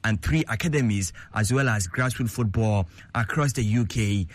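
A man presenting sports news in a steady, formal, scripted delivery. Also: on the low side, moderate pace, no echo.

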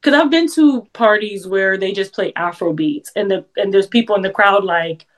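Woman songwriter, chatty, laughs a lot, quick bursts. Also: medium pitch. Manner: laughs often, talks in quick bursts with restarts. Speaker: guest songwriter. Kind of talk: casual interview chat.

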